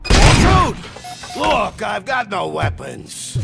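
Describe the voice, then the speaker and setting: An actor playing a frightened, cowardly accountant in a video game, nervous, pleading and excitable. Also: male voice, high-pitched, drawn-out words, creaky, loud music behind the voice, heavily acted. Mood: panicked, pleading. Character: cowardly, frightened male accountant for a criminal gang.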